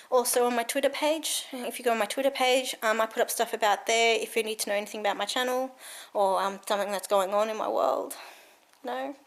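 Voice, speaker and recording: A woman in her thirties chatting to a handheld camera at home in a rambling, giggly way. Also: on the high side. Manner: rambling and giggly, many fillers. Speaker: vlogger. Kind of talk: casual chat to camera. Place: cold room at home. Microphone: handheld camera carried around the room.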